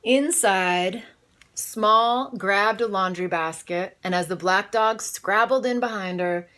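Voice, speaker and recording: A woman reading a children's storybook aloud in an expressive, dramatic way. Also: mid-range voice, takes it slowly. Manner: animated, dramatic read-aloud delivery. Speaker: storybook reader. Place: in bed, indoors.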